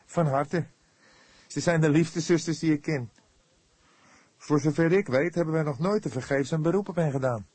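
A man's voice: a little high, normal speed, long pauses, a little mellow, husky, no echo, voice pushed up. A man playing an eager, dutiful young police detective who reports earnestly in an audiobook. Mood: earnest, kindly.

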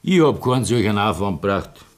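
An actor playing a pious, defensive middle-aged Bavarian war veteran in a radio drama, speaking dialect. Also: male voice, lowish voice, lively intonation, a little fast, lightly acted. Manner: self-righteous, defensive.

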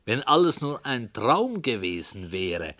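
An actor playing an author who calmly tells his story in a measured, deliberate way. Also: mid-range voice. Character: calm, unyielding male radio play author. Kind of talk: radio play acting.

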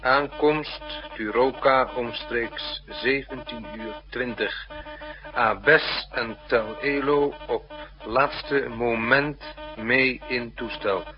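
Slow, clipped agent reporting. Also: male voice, medium pitch, steady tone. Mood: formal, matter-of-fact. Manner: clipped, impersonal. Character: dutiful male secret-service operative reporting in. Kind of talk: 1960s Dutch radio drama acting, telegraphic report. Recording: muffled, telephone-like radio recording with music.

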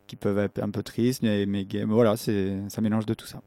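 Mellow-voiced man, halting musician. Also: lowish voice, little pitch movement, no room sound. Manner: halting, self-correcting, searching for words. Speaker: band musician. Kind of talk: conversational radio interview.

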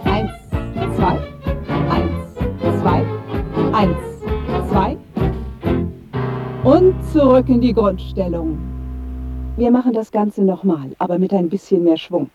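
A chirpy regime exercise instructor, commanding and high-pitched. The radio play delivery swings up and down over loud music. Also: male voice, big pitch swings, takes it slowly, pauses often, exaggerated voice. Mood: brisk, cheerful. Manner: commanding, chirpy, counting out drills. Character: brisk, falsely cheerful exercise instructor of a regime. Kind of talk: German radio play acting. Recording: muffled broadcast with loud music.

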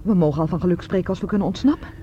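A woman's voice: lowish voice, racing, dark tone, lightly acted. A woman playing a sceptical young fugitive in a Dutch science fiction radio drama, full of doubts and questions. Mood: doubtful, questioning. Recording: dull lo-fi radio recording with hiss.

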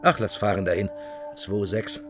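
A man's voice: lowish voice, lively intonation, moderate pace, music in the background, natural voice. A man acting a dithering fellow muttering his thoughts to himself in fragments in a radio drama. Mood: rueful musing. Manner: muttering, hesitant, fragmentary inner monologue.

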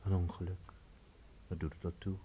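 An actor playing a calm, mocking, otherworldly stranger in a Dutch radio play, deliberate and faintly amused. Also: male voice, low-pitched, slightly rough.

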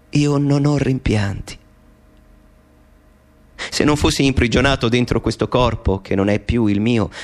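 A man's voice: mid-range voice, close to an everyday voice. An actor playing a middle-aged man speaking an intimate, wistful monologue to his mother in a radio play.

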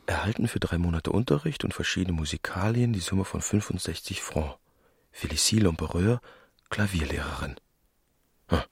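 An actor playing a puzzled husband murmuring as he reads in a German radio play, gravelly and very husky. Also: sits low, moderate pace, dry recording, clean studio sound, exaggerated voice.

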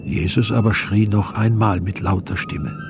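An actor playing a solemn evangelist, narrating gravely and in measured phrases in a radio drama. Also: male voice, fairly low pitch, close to an everyday voice. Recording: loud music behind the voice.

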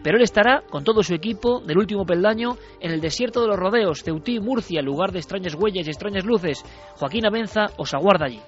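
A man's voice: sits high, lively intonation, fast. A man hosting a radio show, delivering a dramatic, rousing monologue to his listeners. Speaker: radio host. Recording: muffled radio broadcast with faint music.

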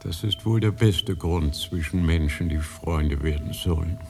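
An actor playing an ancient, frail High Lama in a radio drama, soft and courteous with a slightly melancholic manner. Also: male voice, unhurried, creaky, faint music behind. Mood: gentle, confiding. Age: older. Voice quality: soothingly soft. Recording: long hall reverb.

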